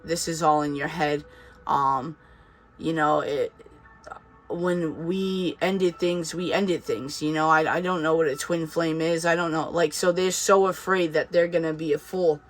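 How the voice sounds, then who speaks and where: A man with a touch of a Boston accent talks through a tarot reading in a loose, chatty way, reassuring his viewers and circling back with fillers. His voice sits a little higher than most men's. A faint hiss of noise runs under the recording. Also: steady tone, measured pace, a bit bright. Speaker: tarot reader. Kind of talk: casual tarot reading monologue.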